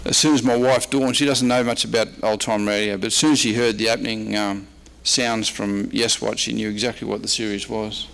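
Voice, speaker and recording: A man's voice: on the low side, steady tone, brisk, creaky, few pauses, fairly bright tone, rough recording. A man presenting a slide lecture as a radio historian and archivist.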